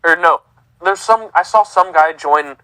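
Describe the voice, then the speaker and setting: A man's voice: a little high, unhurried, pushed and bright, no echo, poor audio. A man, co-hosting a casual sports podcast in an easygoing way, reading stats aloud. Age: 30s. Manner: easygoing, reads out lists. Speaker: podcast co-host.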